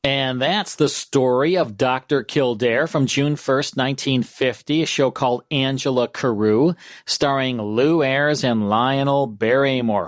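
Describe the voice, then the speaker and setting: A man's voice: medium pitch, no room sound, clean studio sound. A man hosting a classic radio program, presenting background information in an orderly, conversational way. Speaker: classic radio show host.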